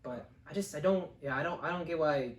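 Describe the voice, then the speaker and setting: A man's voice: fairly high pitch, fairly quick. A man co-hosting a casual film review, rambling and exasperated as he talks. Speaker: co-host of a film review show. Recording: roomy echo, distant mic, faint noise.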